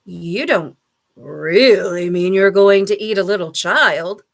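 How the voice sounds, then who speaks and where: An indignant storybook beast, acted out by a woman, shocked and scolding. He exclaims slowly, with big leaps in pitch. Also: sing-song, vocal fry, pushed and bright, full character voice. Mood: curious, shocked. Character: indignant male animal scolding a villain. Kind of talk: children's storybook character reading.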